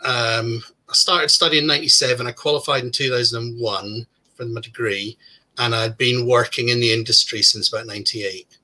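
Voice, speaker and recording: A man in his forties with a very bright, forceful voice talks casually in an interview. He is relaxed and self-deprecating, rambling along with easy fillers. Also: mid-range voice, moderate pace, slight background noise, low-quality recording. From Scotland. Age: 40s. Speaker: podcast guest, plantsman.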